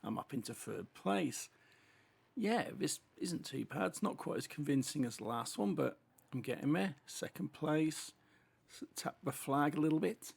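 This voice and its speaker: A British gaming host talking through a race as he plays, chatty and unhurried in his commentary. His voice is deeply gravelly and rough, worn hoarse by a cold, and his S sounds come out with a strong hiss. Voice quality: heavy sibilant S sounds. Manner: chatty running commentary while driving. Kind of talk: casual racing game commentary.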